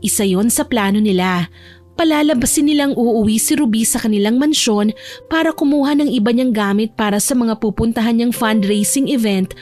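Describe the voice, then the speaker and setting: A woman's voice: on the high side, darkish tone. A woman reading a scripted romance story as a dramatic audiobook narrator. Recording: background music under the voice. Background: music.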